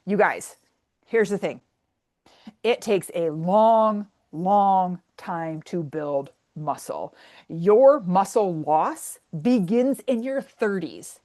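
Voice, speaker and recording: A woman, a fitness coach talking casually and bluntly on a webinar, full of fillers and casual swearing. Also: on the low side.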